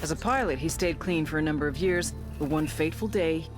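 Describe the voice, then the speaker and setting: A woman's voice: low-pitched, brisk, natural voice. An actress playing a tough, sardonic female intelligence agent, narrating a game briefing in a wry, foul-mouthed way over music. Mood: sardonic, storytelling. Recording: music behind the voice.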